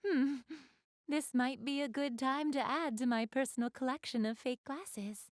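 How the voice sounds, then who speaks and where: A woman's voice: high voice, moderate pace, no room sound, studio quality, natural voice. A woman playing an elegant, doting big-sister goddess in a video game, speaking in polite, formal, refined phrases straight to the listener. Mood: sweet, coy. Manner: polite, formal, refined diction, gushing over what she adores. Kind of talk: video game character acting, addressing the listener.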